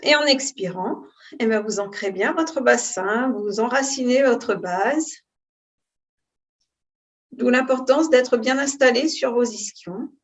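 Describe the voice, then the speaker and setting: A woman teaching yoga, giving calm, unhurried and soothing guidance on posture and breathing. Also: a little high. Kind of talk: guided yoga and breathing instruction.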